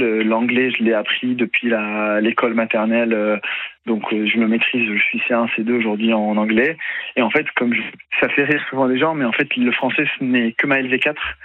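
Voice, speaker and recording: A man's voice: mid-range voice, no room sound. Young guy from italy, no accent, lots of euh and restarts, sounds like a phone line. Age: young adult. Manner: hesitant, many fillers and restarts. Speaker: interview guest. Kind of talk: radio interview. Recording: dry tinny phone-like radio sound.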